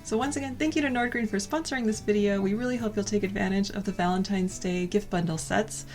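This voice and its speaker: American woman chatting cozy and soft, laughs a lot, faint music behind. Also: medium pitch, fairly even intonation, fast. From United States. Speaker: vlog co-host. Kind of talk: casual cozy chat and sponsor read.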